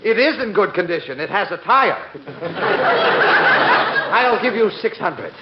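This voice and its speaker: A pushy, high-voiced car salesman in an old-time radio comedy sketch. The recording is dull and noisy, with clicks throughout. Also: lively intonation, unhurried. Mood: eager, obliging. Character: fast-talking car salesman, first fawning, later bossy and rude.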